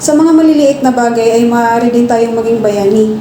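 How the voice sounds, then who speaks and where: A woman's voice: fairly high pitch, normal speed, mellow, close to an everyday voice. A woman playing a kind, encouraging young student in an amateur radio drama. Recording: echoey room, clear background noise.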